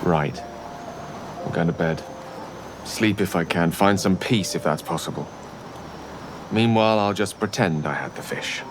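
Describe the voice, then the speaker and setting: Low-voiced, weary adventurer. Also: male voice, a little slow, pauses often, background noise. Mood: grim, resigned. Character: weary, wry male fantasy adventurer, feeling unwell. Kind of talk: fantasy video game acting.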